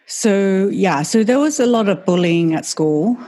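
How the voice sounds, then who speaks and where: A woman's voice: medium pitch, animated, a little slow, warm tone. A middle-aged woman from Taiwan, a native Mandarin speaker, talking as a doctor guest on a podcast in a friendly, reflective way with fillers. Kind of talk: casual podcast interview.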